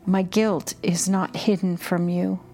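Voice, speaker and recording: A woman guiding contemplative prayer, reading in short, measured and deliberate phrases. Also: lowish voice, level tone, mellow. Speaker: prayer guide and podcast host. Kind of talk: slow, repetitive guided contemplative prayer reading. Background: music under the voice.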